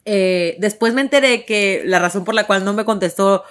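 A woman's voice: mid-range voice, moderate pace. A young woman from Tijuana with a northern Mexican accent, telling her story to viewers in a chatty, emphatic way full of fillers. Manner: chatty, warm and emphatic, many fillers and restarts. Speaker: actress.

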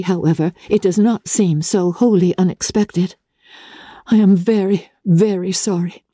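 A woman reading a classic novel, voicing an anxious, gentle young gentlewoman in an earnest, tender manner. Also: mid-range voice, dry recording, studio quality, voice pushed up, close to an everyday voice. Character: anxious, gentle, hopeful young gentlewoman writing to her sister.

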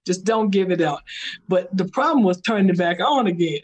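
A middle-aged woman, telling stories as a meditation guide in an interview, and she laughs often and slips into high-pitched voices when she quotes someone. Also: expressive, a little fast, a little mellow. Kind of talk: animated conversational storytelling.

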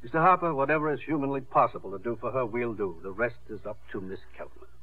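An actor playing a calm, grave, formal surgeon in a 1950s radio drama, speaking deliberately. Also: male voice, mid-range voice, slightly rough, no room sound, close to an everyday voice. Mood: grave, reassuring. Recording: tinny, muffled 1950s radio recording.

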